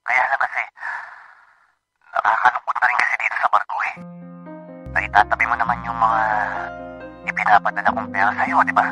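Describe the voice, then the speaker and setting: An actor playing a homesick, evasive seafarer husband in naturalistic radio drama, halting and repeating himself. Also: male voice, lowish voice, fairly even intonation, sharp tone, poor audio. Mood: weary, hesitant. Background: music bed.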